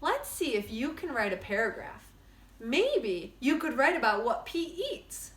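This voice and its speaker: Female storybook reader for kids, teacherly, higher-pitched and very lively, roomy sound. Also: unhurried. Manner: expressive, simple phrasing aimed at young children. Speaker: teacher reading a story to children. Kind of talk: children's storybook read-aloud. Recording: echoey room.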